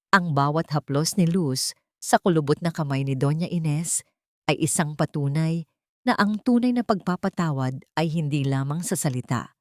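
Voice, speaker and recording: A low-voiced woman narrates an audio drama from a script. Her delivery is measured and sentimental, and the recording is close and dry. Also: no echo.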